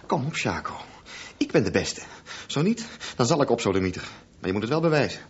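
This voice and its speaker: A man's voice: medium pitch, very animated, brisk, slightly rough. An actor voicing an intense, defiant and bitter sixteen-year-old boy swimmer in a radio drama. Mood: taunting, defiant. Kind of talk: radio drama acting and narration. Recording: muffled, faintly noisy radio drama recording.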